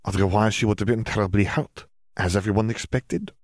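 A man's voice: lowish voice, a little fast, a little mellow, dry recording, clean studio sound, close to an everyday voice. A man voicing a hesitant, worried father who stumbles into his questions. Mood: puzzled.

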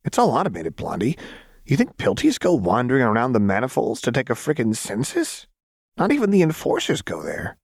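A man voicing a manic, brash young woman saboteur in an audiobook, with a shrill, slangy, rambling delivery. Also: huge pitch swings, brisk, gravelly, slightly rough, no echo, clean studio sound, heavily acted. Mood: scornful.

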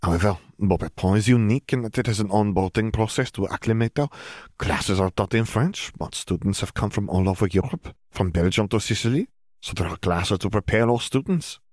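A man's voice: lowish voice, animated, fairly quick, a little mellow, no echo, studio quality. A man voicing a proud, insistent witch official, a formal and emphatic woman, in a story reading. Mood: proud, persuasive.

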